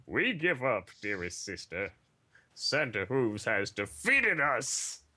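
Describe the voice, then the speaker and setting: A man voicing a regal, bombastic pony princess from a storybook, with dramatic, drawn-out exclamations. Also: medium pitch, huge pitch swings, pushed and bright, exaggerated voice. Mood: theatrical outrage. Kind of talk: theatrical scripted story reading.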